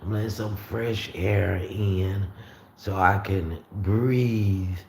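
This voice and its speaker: A raspy-voiced man from Florida hosting a casual livestream, talking easily and reading out viewer comments. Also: low voice, fairly even intonation, drawn-out words. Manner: rambling, easygoing, frequent fillers and restarts. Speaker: livestream host. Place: room at home with the windows open. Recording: roomy echo with faint street noise.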